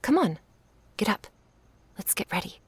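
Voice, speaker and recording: A woman playing an affectionate young witch in an intimate ASMR roleplay, speaking softly, tenderly and playfully, often in a whisper. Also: mid-range voice, sing-song, normal speed, slight background noise, close to an everyday voice. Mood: playful, doting. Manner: soft, breathy, tender murmuring with little hums.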